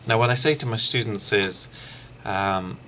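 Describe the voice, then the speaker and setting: A middle-aged man giving an informal instructional screencast as a language teacher, haltingly thinking aloud. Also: on the low side, sharp tone, slight background noise. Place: small room lined with shelves, indoors.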